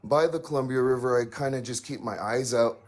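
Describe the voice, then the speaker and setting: A man calling in to tell a paranormal story, halting and full of fillers, with a raspy voice. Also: medium pitch, a little mellow. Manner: halting, many fillers and restarts. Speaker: caller sharing a paranormal story. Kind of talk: hesitant casual storytelling.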